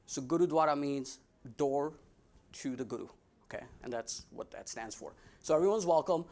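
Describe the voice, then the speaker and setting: A man's voice: fairly high pitch, moderate pace, a little mellow. Friendly Midwestern man giving a slide talk. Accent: American Midwest. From United States. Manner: friendly and joking, many fillers and restarts. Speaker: guest speaker. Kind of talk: casual presentation with slides.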